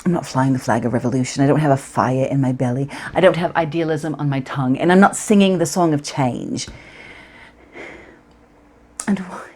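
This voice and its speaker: A woman playing a wistful, frank young woman in a stage monologue, speaking intimately and reflectively with deliberate pauses. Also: sits low, darkish tone. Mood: disillusioned.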